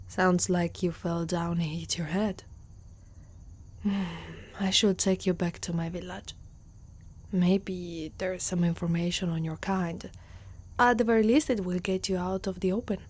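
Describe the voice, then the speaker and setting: Casting: woman as haughty centaur girl for ASMR fantasy roleplay, Italian accent, unhurried, soft dark tone, protective underneath. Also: mid-range voice, natural voice. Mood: puzzled, curious. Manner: haughty, slow, often dropping into whispers. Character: proud, guarded young female centaur warrior, gruffly protective.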